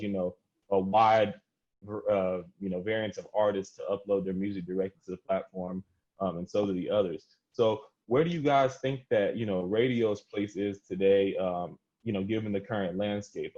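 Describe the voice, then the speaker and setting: A man's voice: on the low side, a little mellow. A man hosting a podcast in a casual, rambling, slangy way as he leads the discussion. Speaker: podcast host. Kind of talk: casual hosting, introducing topics. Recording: dull lo-fi audio.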